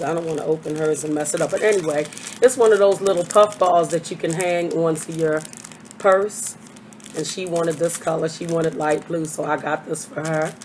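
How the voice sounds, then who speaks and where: An older woman, hoarse from a cold, chatting casually through a haul video at her webcam with lots of fillers. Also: deep voice, darkish tone, a little hiss or hum, low-quality recording. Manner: chatty, rambling with many fillers. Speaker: vlogger. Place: small bedroom. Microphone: webcam at desk.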